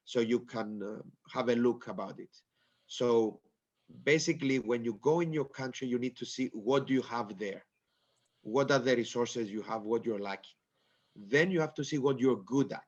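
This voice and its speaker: A man from Cyprus with a stuffy nose, giving a conference panel talk over a video call, halting and explanatory with many fillers. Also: mid-range voice, lo-fi sound. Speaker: panel speaker.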